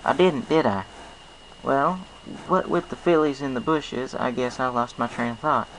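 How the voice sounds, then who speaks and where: A man acts out a young country mare from a storybook, confident and reassuring, with a folksy drawl. The voice sits lifted in pitch, with some gravel in it and a faint noise behind. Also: moderate pace, fairly bright tone, lightly acted, rough recording. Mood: cheerful, playful. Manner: folksy drawl with dropped g's. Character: friendly, honest young female country pony, confident and reassuring.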